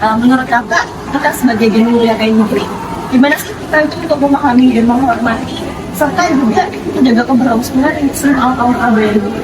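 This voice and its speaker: A woman's voice: fairly high pitch, fast talker. A young woman, a student interviewer, asking questions in an informal, chatty way. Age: young adult. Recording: very loud background noise, vehicles.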